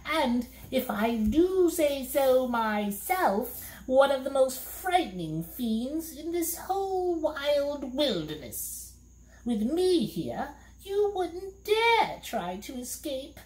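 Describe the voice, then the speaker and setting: Woman doing a squeaky little demon. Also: sing-song, slow pace, darkish tone, echoey room, exaggerated voice, rough recording. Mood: gleeful. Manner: squeaky and gleeful. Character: small furry male demon, sly fibber then sobbing.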